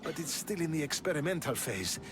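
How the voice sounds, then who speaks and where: An actor playing an earnest, articulate, idealistic scientist prince from an ancient advanced race in a video game drama. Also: male voice, fairly high pitch, sing-song, brisk. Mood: earnest, urgent. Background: music.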